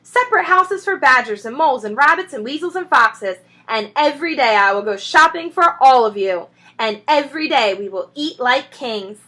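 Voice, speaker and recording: A woman's voice: high-pitched, lively intonation, moderate pace, few pauses, bright, forceful tone, full character voice. A woman voicing a proud, cheerful male fox giving a grand, rousing speech in a storybook.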